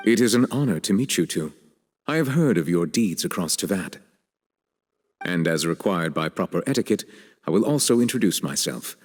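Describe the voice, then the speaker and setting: A deep, formal chief justice. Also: male voice, lively intonation. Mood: courteous. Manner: formal, courteous, measured. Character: stately male chief justice, formal and polite. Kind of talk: video game cutscene dub. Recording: long reverb.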